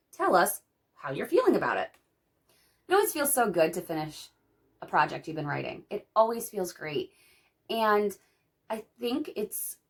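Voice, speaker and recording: A woman in her thirties, hoarse from a cold, reading out writing questions and answering them in casual chat. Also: medium pitch. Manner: chatty, reads out questions then answers them. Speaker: writing vlogger. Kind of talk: casual talk-through of a tag video.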